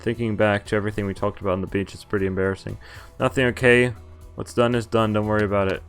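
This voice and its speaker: A man's voice: on the low side, flat delivery, quick pace. A man reading visual novel text aloud as a streamer, breaking off for informal, joking commentary. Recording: game music behind the voice.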